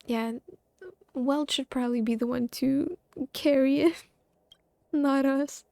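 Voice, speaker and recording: Casting: female game streamer, high voice, unhurried delivery, casual and playful, exclamatory, not shy of swearing. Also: steady tone, creaky, mellow, no room sound, studio quality. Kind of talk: casual live gameplay reaction chat.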